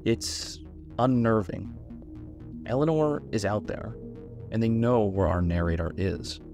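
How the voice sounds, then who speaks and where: A man's voice: on the low side, unhurried, gravelly, music in the background. A man hosting a video essay, giving scripted narration and commentary.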